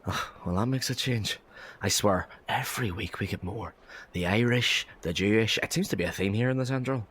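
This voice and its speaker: A man performs a young working man of Victorian London for a historical audio drama. His voice sits low, and he chats in a cheeky, jovial, bantering way. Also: normal speed, a bit bright, breathy edge. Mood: jovial, wry.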